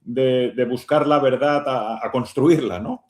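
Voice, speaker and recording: A man hosting a conversational radio interview on religion, speaking haltingly with frequent tag questions. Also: mid-range voice, darkish tone. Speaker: radio host. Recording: roomy, echoing sound.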